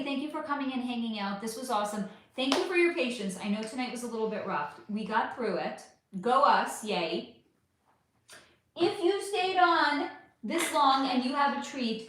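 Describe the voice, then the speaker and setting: A woman's voice: a little high, a bit bright, slight background noise. A woman hosting a casual livestream chat, profane and affectionate, losing her voice. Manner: chatty, profane, affectionate with viewers. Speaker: livestream host. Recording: very echoey room.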